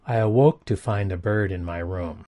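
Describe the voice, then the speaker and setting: Low-voiced man reading sentences deliberately. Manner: even, deliberate read, each sentence said twice. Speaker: English lesson reader. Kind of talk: reading example sentences aloud.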